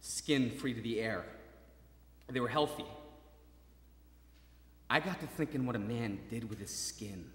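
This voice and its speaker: An actor playing a maimed young soldier, desperately hopeful, in a halting, intense dramatic monologue that moves between murmurs and cries. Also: male voice, mid-range voice, natural voice. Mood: haunted, searching. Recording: reverberant hall, stage performance.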